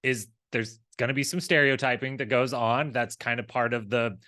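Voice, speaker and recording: A man with a mid-pitched voice co-hosts a casual recap show. He talks in a rambling, conversational way and slips into imagined quotes to make his points. The sound is dry, with very little room in it. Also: steady tone, moderate pace, bright, forceful tone, dry recording. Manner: rambling, acts out imagined dialogue. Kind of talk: casual, conversational episode commentary.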